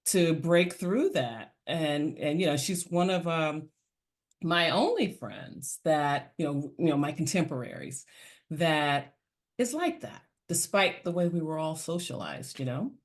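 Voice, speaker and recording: A woman hosting a parenting podcast, reflecting aloud and sharing personal stories in a relaxed interview. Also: sits low, measured pace. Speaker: podcast host. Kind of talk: casual interview chat.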